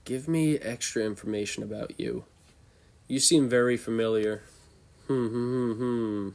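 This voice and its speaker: A man's voice: medium pitch, fairly even intonation, unhurried, gravelly, darkish tone. A young man with a congested voice, hosting a casual, off-the-cuff tier-list commentary and thinking aloud as he goes. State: congested from a cold. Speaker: host.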